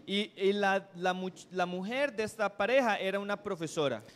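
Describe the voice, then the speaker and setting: Brief: thirties man, live stage interpreter, high voice, self-correcting restarts. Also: normal speed, fairly bright tone, smooth. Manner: follows each phrase, with restarts and self-corrections. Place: stage in a hall. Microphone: handheld mic.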